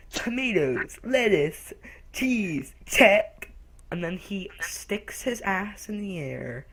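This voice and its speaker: A young man co-hosting a casual cartoon review who rambles and loudly acts out lines from the show. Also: a little high, poor audio. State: recently sick. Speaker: co-host reviewer.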